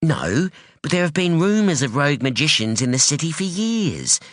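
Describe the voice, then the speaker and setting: A man voicing a sharp, defiant young woman who talks bluntly and insistently, in an audiobook. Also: a little high, sing-song, moderate pace, no echo, studio quality, pitched above the performer's own voice. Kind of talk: audiobook dialogue.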